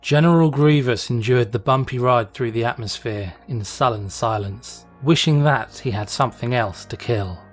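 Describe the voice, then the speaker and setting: Male narrator for dramatic fiction reading, mid-low everyday pitch range, soft music bed underneath. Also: moderate pace, few pauses. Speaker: fan fiction reader, narrator. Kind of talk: dramatic scripted story narration.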